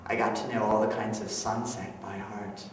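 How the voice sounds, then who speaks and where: A man playing a dreamy, melancholy young farm man in a hushed, halting stage monologue. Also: fairly low pitch, level tone, voice pushed down, lightly acted. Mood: wistful, dreamy. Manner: hushed, pensive, halting delivery. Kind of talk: theatrical dramatic monologue. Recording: echoey theater recording from far off, noisy.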